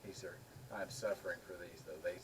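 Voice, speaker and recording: A man's voice: deep voice, steady tone, a little fast, background noise. A man chatting casually with joking banter as co-host of an amateur video. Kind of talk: casual chat.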